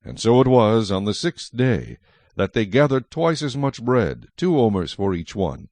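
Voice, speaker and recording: A man narrating scripture from a script as a solemn, measured storyteller. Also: fairly low pitch, sing-song, darkish tone, no echo.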